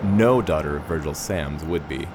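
A man's voice: very deep voice, normal speed. A man playing a senator's scheming, sinister henchman in a radio drama, with a probing, menacing manner. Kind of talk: science fiction radio drama acting. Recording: busy crowd and city noise behind.

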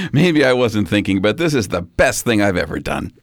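A doting fiancé, acted by a man in a radio play, exasperated but affectionate. His lowish, creaky voice moves expressively. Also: big pitch swings, normal speed, no echo, clean studio sound. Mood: indulgent. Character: indulgent, doting fiancé, easily won over. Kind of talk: radio drama acting.

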